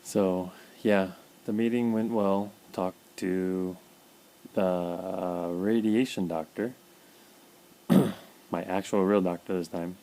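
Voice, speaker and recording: A man talking casually in a personal video diary, halting with fillers and repeated words, his mouth dry. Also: low-pitched, fairly even intonation, creaky, warm tone, rough recording. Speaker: vlogger documenting his illness.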